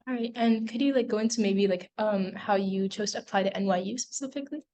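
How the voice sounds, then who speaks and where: Give me a woman moderating a student transfer panel. She is relaxed and conversational, filling pauses with "like" and "um" as she calls on speakers. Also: medium pitch, slight background noise. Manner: casual, filler-heavy.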